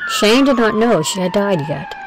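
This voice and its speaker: A woman's voice: mid-range voice, wildly swooping pitch, normal speed, background noise. A woman narrating a scripted audio drama as its storyteller.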